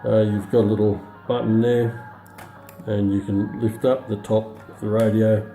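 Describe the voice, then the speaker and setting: Middle-aged male demonstrator, low voice, matter-of-fact and halting, recorded indoors with radio audio behind him. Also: little pitch movement, warm tone, reverberant, faint music behind. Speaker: presenter of a radio review. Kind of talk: unscripted product demonstration. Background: shortwave radio broadcast playing.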